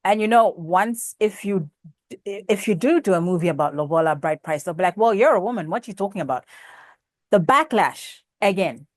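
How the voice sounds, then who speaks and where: A woman's voice: fairly low pitch, animated, moderate pace, no room sound, studio quality. A woman hosting a podcast interview, chatting in an affirming, conversational way. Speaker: podcast host.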